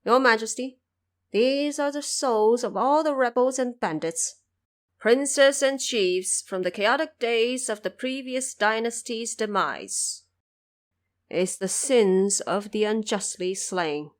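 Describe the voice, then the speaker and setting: Woman voicing a slow, solemn underworld judge. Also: on the high side. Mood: solemn, advising. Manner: formal, measured, grave. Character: solemn male underworld judge, courteous but commanding.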